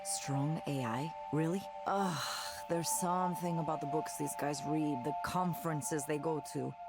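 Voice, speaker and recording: An actress voicing an outspoken, cynical ex-tech worker in a visual novel game, earnest and opinionated. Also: female voice, sits low, fairly even intonation, unhurried, loud music behind the voice. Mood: exasperated. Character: outspoken, cynical woman who quit a tech company.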